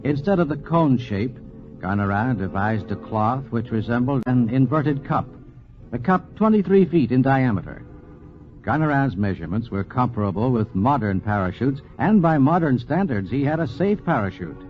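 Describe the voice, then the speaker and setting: Lively dramatic vintage radio narrator, male. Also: mid-range voice, soft background music. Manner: dramatic, deliberate emphasis. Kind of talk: 1940s radio documentary narration, scripted and dramatic. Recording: dull 1940s radio recording.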